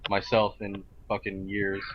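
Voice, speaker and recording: A man with a lowish voice and very little melody talks casually as a game player. He is a little hesitant. The sound is dull and lo-fi, with faint noise. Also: monotone, unhurried. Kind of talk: casual chat.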